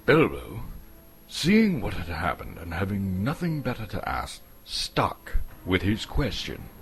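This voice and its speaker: A man's voice: low voice, wildly swooping pitch, slow, gravelly. A man from Canada giving a dramatic storybook narration as the narrator.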